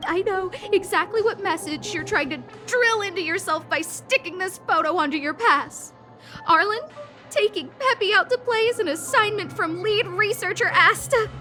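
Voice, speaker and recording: Game casting: earnest, guilt-ridden young lady researcher, very high voice, theatrical delivery, soft music underneath. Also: normal speed. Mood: dramatic, distressed. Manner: theatrical, over-earnest, self-accusing. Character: melodramatic, guilt-stricken young noblewoman, earnest lead researcher. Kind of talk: anime-style video game voice acting.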